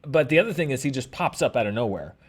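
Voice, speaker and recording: A man's voice: medium pitch, expressive, fast talker, husky. American man in casual studio commentary. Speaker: commentary host and writer. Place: small podcast studio. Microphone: desk microphone, close.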